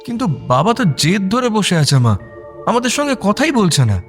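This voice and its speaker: Earnest, lively young son. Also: male voice, high voice, moderate pace, soft background music. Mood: earnest, pleading. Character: earnest, bewildered young husband and dutiful son. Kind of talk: broadcast radio drama acting.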